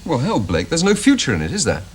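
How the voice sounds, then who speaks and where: An actor playing a breezy upper-class English officer, speaking in a jaunty, teasing way. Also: male voice, mid-range voice, wildly swooping pitch, fast talker, slight background noise.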